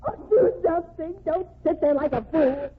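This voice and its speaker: A woman's voice: very high-pitched, animated, moderate pace, a loud crowd. An actress playing a desperate, impassioned young woman in an old-time radio crime drama, urgent and pleading in a melodramatic way. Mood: frantic. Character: desperate, impassioned young woman fighting for her condemned sweetheart. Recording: tinny band-limited old radio recording.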